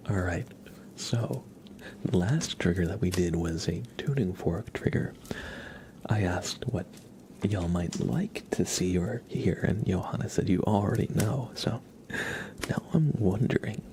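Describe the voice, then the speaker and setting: A man hosting an ASMR livestream, chatting casually and softly to viewers in an unhurried way, close to the microphone. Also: deep voice, slight background noise. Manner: soft-spoken, mostly whispering, unhurried.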